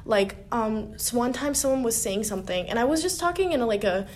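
A young woman co-hosting a casual roundtable discussion, outspoken and animated, with lots of filler words and a strong voice. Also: a little high, fairly quick. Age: young adult. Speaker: staff writer and podcast co-host.

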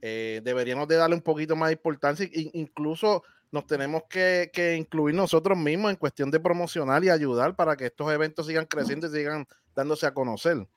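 A man co-hosting a talk, polite and a bit hesitant, dotting his speech with fillers. His voice is slightly high for a man, recorded dry and close. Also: a little fast, hardly any pauses, dry recording. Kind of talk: casual discussion.

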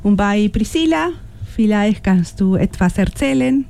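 A woman's voice: mid-range voice, animated, measured pace, vocal fry, mellow. A woman hosting a radio programme, presenting partly from a script and speaking in an encouraging manner. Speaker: radio host and workshop leader.

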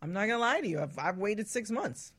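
Female talk radio host, medium pitch. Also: big pitch swings, fairly quick, a bit bright, slightly rough. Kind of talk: casual talk show chat.

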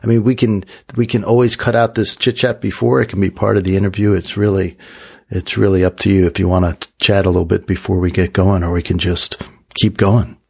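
A man's voice: deep voice, a little fast, creaky, husky. A man hosting a podcast, chatting casually and laughing easily with his guest. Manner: friendly, laughs easily, relaxed. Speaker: podcast host. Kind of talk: casual interview chat.

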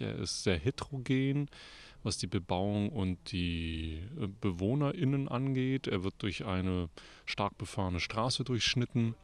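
Lower-voiced man with a very creaky voice. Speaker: theatre director, interviewee. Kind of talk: spontaneous interview explanation.

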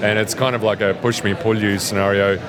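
A man's voice: lowish voice, level tone, fairly quick, a crowd in the background. A man who works on the show, telling dry, amused backstage anecdotes. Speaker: show crew member. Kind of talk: casual chat. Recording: loud music playing behind.

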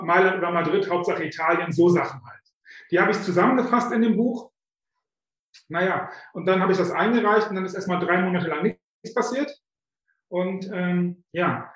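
A man in his fifties with a high-pitched voice tells his story in a chatty, self-deprecating way, often pausing on filler sounds. He is heard in a casual interview, and his voice rings out in a strongly echoing room. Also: a bit bright. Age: 50s. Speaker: guest, author coach. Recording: very echoey room.

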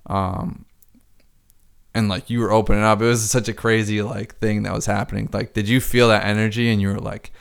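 A man's voice: on the low side, flat delivery, a little fast, creaky, clear, resonant tone. A man who works as a DJ, chatting casually on a podcast, rambling with lots of filler words and swearing freely.

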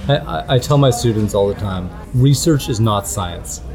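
A middle-aged man from Canada, a guest ethnographer speaking in a measured, reflective way with frequent restarts. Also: medium pitch, expressive. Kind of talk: conversational interview.

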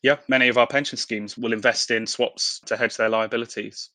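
A man's voice: medium pitch, steady tone, fairly quick, bright, forceful tone, dry recording. A man speaking as an expert interview guest, explaining things conversationally. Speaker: investment professional, interview guest.

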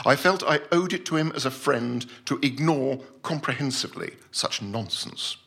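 An actor playing a pompous, self-deluded scientist-explorer, formally and deliberately reciting his voyage report as a theatrical stage story. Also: mid-range voice, a bit bright, slightly rough, heavily acted. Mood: disdainful. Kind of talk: dramatic first-person short story reading at a live night.

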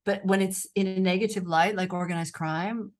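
A woman's voice: medium pitch, steady tone, moderate pace. An American woman journalist answering interview questions in a thoughtful, conversational way, often restarting her sentences. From United States. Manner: thoughtful, with frequent restarts and fillers. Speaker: journalist and author, interview guest.

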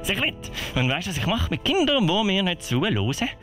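Greedy mad-doctor villain for kids' radio play; pompous, gloating, pitch leaping wildly, faint music underneath. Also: male voice, big pitch swings, brisk, a bit bright. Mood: menacing, gloating. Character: megalomaniac greedy villain doctor, spirit of video calls. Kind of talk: children's audio play acting.

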